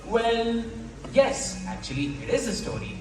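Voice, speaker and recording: Animated, formal storytelling guardian played on stage. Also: medium pitch, measured pace, background noise. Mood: stern, indulgent. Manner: wordy, formal, dramatic. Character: formal, stern but indulgent guardian telling a bedtime tale. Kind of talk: theatrical verse storytelling. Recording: very echoey hall, distant mic, music.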